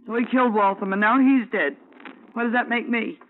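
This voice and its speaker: Woman playing a high-voiced gangster's girlfriend. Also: brisk, narrow, tinny audio, a little hiss or hum, close to an everyday voice. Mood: agitated, pleading. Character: tough, defensive young woman, a gangster's girlfriend. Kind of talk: 1950s radio crime drama acting. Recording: thin, band-limited 1950s radio recording.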